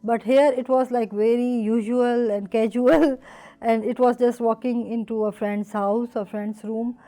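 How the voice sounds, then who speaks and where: A woman from Pakistan speaks in an interview. Her voice is mellow and sits a little high, and she talks hesitantly, filling gaps with "uh". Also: steady tone, measured pace, low-quality recording. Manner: hesitant, many fillers. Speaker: PhD student in journalism. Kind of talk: interview testimony.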